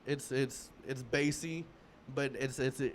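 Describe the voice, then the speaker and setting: Male host in his 30s, medium pitch, easygoing rambling chat, faint hiss in the background. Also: measured pace. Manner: relaxed, rambling, many restarts and fillers. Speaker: podcast host. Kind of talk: casual interview chat.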